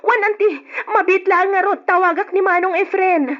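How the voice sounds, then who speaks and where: A woman's voice: steady tone, normal speed. A woman playing a young daughter who cries out and pleads tearfully in an old radio drama. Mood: alarmed. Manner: high, tearful, crying out. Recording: lo-fi old radio recording with music.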